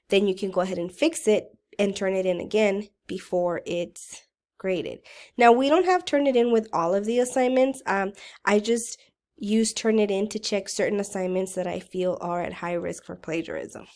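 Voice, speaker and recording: A woman college writing instructor, still getting over a cold, explains how to navigate her course in a relaxed, conversational way. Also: mid-range voice. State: voice rough from a recent cold. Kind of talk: recorded course walkthrough lecture.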